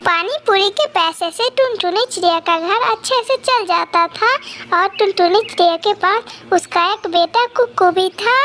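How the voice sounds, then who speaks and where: A woman narrates a scripted children's cartoon story. The voice is strikingly high and bright. A little noise can be heard in the background. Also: a little slow.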